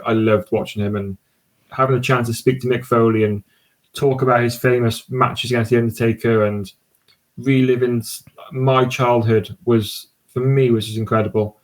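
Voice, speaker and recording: Man, casual podcast guest, eager, rambling, mid-pitched voice. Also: steady tone, normal speed, low-quality recording. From Cardiff, Wales. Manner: enthusiastic, rambling with many restarts. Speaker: podcast guest and sports podcast host.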